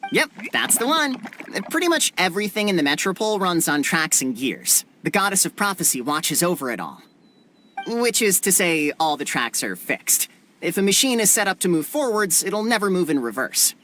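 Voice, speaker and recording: A woman's voice: fairly low pitch, very animated, normal speed, fairly bright tone, slight background noise. A woman voicing a dramatic, devout storybook resident in a video game, with a theatrical, explanatory manner. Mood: matter-of-fact. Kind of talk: English video game voice acting.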